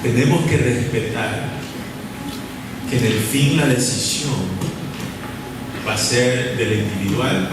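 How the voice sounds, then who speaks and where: A man preaching a sermon in a church, speaking deliberately, repeating key phrases and pausing with short fillers. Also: medium pitch, background noise. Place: church sanctuary. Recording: echoey church hall from far off, with room ambience.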